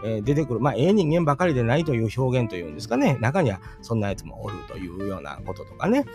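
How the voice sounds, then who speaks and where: A man's voice: mid-range voice, big pitch swings, fast talker. A middle-aged man with a Kansai accent musing aloud about a fairy tale in a chatty way, often turning to his listeners with questions. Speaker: storytelling channel host. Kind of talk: casual spoken commentary on a fairy tale. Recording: music playing behind the voice.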